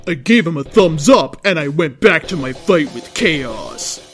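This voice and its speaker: Higher-pitched man reading a story theatrically. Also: lively intonation, measured pace, fairly bright tone, soft background music. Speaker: story reader. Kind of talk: comic dramatic reading of fan fiction.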